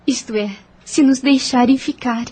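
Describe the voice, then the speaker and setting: Young heroine, played by a woman, polite and sincere, higher-pitched with a husky softness, for audio drama. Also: steady tone, moderate pace. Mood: hopeful. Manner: polite, earnest. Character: gentle, polite young woman heiress, weary traveller.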